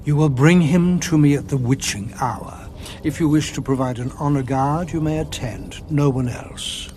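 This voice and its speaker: An actor playing a stern, menacing sorcerer who gives orders imperiously, in an audio drama. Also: male voice, fairly high pitch, noisy background, close to an everyday voice. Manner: imperious, deliberate.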